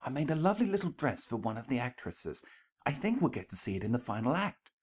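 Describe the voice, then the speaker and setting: A refined, fashionable female unicorn from a storybook, acted out by a man. The voice sits high above the reader's own, with an airy, affected gentility. Phrases are delivered with ladylike poise. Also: sing-song, fast, husky. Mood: hushed, confiding. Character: elegant young female unicorn, refined socialite dressmaker. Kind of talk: storybook character voice in fan fiction reading.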